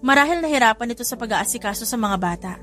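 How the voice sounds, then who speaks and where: A woman's voice: on the high side, lively intonation, racing, a bit bright. A woman with a Filipino accent narrates a scripted romance story. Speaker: audiobook narrator. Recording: music behind the voice. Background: background music.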